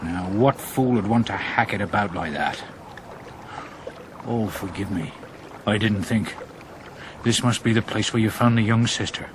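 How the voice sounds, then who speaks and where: A man's voice: fairly low pitch, a little slow, pauses often, background noise, lightly acted. An actor playing a plain-spoken, pious medieval countryman with a British accent, earnest and questioning, in a radio drama. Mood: sombre.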